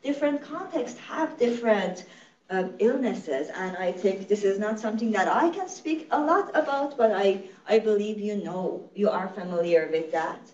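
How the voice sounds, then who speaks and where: A woman's voice: medium pitch, lively intonation, moderate pace, a little mellow. Woman, Farsi speaker, earnest conversational lecturer, heard from afar in an echoey room, muffled audio. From Iran. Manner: earnest, explanatory, frequent self-corrections. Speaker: literacy program director giving a presentation. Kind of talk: conversational lecture to medical audience. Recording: echoey, distant, dull-sounding room recording.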